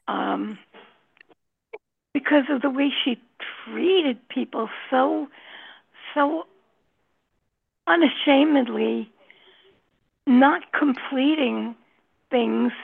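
A woman in a book group sharing her thoughts on a novel, slow and hesitant with many pauses. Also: fairly high pitch, gravelly, husky. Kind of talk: casual discussion. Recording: tinny phone line.

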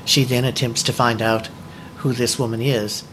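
Man, medium pitch, book discussion co-host, measured explanatory delivery, slightly noisy recording. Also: flat delivery, fairly bright tone. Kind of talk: informal presentation to a book club.

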